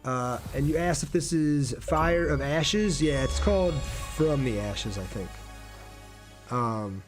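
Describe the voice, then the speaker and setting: A man with a mid-pitched voice commentates on his own game livestream. He talks casually, thinks aloud as he plays and chats in a friendly way with his viewers. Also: expressive, takes it slowly, faint music behind. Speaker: game streamer. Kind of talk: casual livestream gameplay commentary with chat replies.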